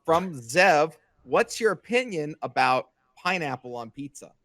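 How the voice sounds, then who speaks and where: A man's voice: a little high, big pitch swings, unhurried, fairly bright tone, no echo. A man co-hosting a podcast, chatting casually and reading out listener questions. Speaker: podcast co-host.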